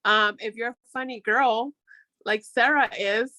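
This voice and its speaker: Chatty woman with a bright, dry voice. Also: fairly high pitch, steady tone, unhurried, no room sound, poor audio. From Mexico. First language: Spanish. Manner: chatty and encouraging, with frequent restarts. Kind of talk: casual workshop chat.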